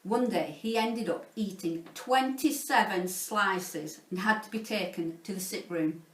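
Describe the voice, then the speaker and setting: Expressive woman storyteller in an echoey room. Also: medium pitch, measured pace. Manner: theatrical, playful, dramatizing sound words. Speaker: teacher reading a children's book aloud. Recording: roomy echo, distant from the mic.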